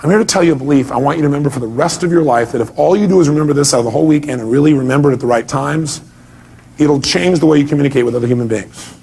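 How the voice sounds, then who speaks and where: A man's voice: on the high side, very fast, vocal fry, darkish tone. A man speaking as a motivational seminar leader, emphatic, putting rhetorical questions to his listeners, repeating key points and acting out reactions.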